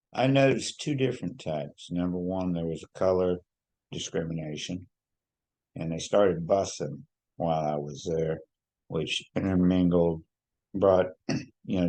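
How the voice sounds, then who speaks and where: Low, mellow-voiced man in his sixties. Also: husky. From Hawaii. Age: 60s. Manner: unhurried, reflective, with many fillers and restarts. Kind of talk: personal storytelling.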